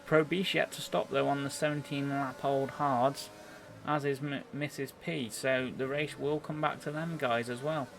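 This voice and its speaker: A man giving live play-by-play commentary on an online racing game, a little halting as he thinks through the action. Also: mid-range voice, fairly even intonation, vocal fry, fairly bright tone.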